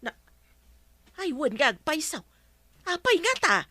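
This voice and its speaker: A woman's voice: sits high, sing-song, unhurried, bright, forceful tone, dry recording, heavily acted. A woman playing a touchy, whiny older neighbour with an Ilocano accent in a broadcast comedy drama. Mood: complaining. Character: touchy older village neighbour woman, complaining of being disliked.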